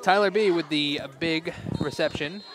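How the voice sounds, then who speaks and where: Guy doing play by play at a football game, kinda hoarse, slightly high voice, crowd noise all around. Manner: quick, chatty, laughs easily. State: losing his voice. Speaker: play-by-play announcer. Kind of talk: live football play-by-play commentary.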